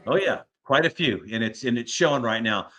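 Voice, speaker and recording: A man's voice: medium pitch, expressive, a little fast, fairly bright tone. An American man hosting a sports show, talking conversationally as an interviewer.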